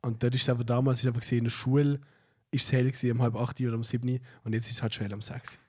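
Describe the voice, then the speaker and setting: A young Swiss German man co-hosts a podcast while fighting a cold. His pitch sits in the middle range, and he answers his partner with dry, teasing remarks. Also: level tone, racing, darkish tone, slightly rough. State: has a cold. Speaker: podcast co-host. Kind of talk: casual chat.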